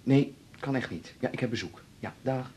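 An actor playing a casual, evasive boyfriend in a naturalistic radio drama. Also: male voice, mid-range voice, normal speed, a little mellow, slightly rough, natural voice.

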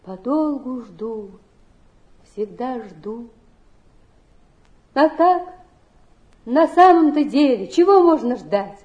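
High-voiced, desperate young woman dreamer. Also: expressive, slow, long pauses, a little mellow, dull audio. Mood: wistful, melancholy. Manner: plaintive, dramatic stage delivery. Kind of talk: theatrical stage acting.

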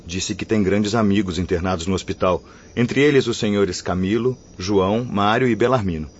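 Radio-play guard: man acting a respectful soldier, low voice, Brazilian accent, formal delivery, slightly muffled sound. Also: moderate pace, a little hiss or hum, lightly acted. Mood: urgent, deferential. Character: dutiful, deferential male soldier guarding spirit gates. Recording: dull lo-fi audio, faint ambience.

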